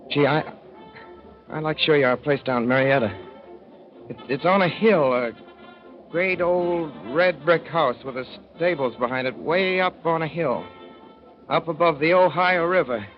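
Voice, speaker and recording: A man's voice: fairly high pitch, normal speed, natural voice. An actor playing a naive young man from the Midwest who rambles through his story in a folksy, boyish way, on an old radio play. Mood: eager. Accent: Midwestern American. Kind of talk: 1930s radio drama narration. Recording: dull 1930s radio broadcast with music.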